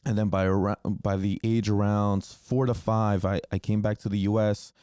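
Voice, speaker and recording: A man's voice: fairly low pitch, flat delivery, normal speed, warm tone, smooth, dry recording, studio quality. A man in his twenties, a native Spanish speaker, hosting a podcast and telling stories from his childhood in a loose, candid way, with frequent ums and casual swearing. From New Jersey, United States. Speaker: podcast host. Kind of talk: casual solo storytelling.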